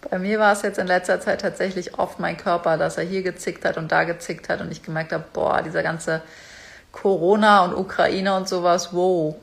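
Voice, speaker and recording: A woman's voice: lowish voice, fairly even intonation, normal speed, creaky. Female vlogger, casual coaching monologue, speaks straight to the viewer. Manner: chatty, direct, addresses viewer as du. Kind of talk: casual motivational talk to camera.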